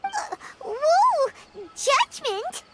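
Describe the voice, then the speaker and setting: A woman voicing a tiny, excitable, childlike female companion sprite in a video game, squeaky and theatrical. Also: extremely slow, very noisy, full character voice. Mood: nervous. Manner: exclaiming, theatrical.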